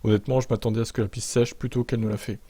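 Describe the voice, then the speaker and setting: A man's voice: mid-range voice, little pitch movement, racing, dark tone, slightly rough, no room sound. Dry-sounding Frenchman, informal motorsport commentary. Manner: informal, sardonic, slangy. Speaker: motorsport commentator and video host. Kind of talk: news narration with blunt opinionated asides.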